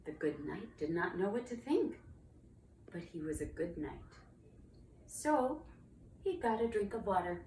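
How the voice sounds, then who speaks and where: A woman's voice: lowish voice, big pitch swings, unhurried, long pauses, darkish tone. A woman narrating a children's storybook with dramatic, emphatic storytelling. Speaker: storybook reader, cantor. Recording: roomy, distant mic, faint noise.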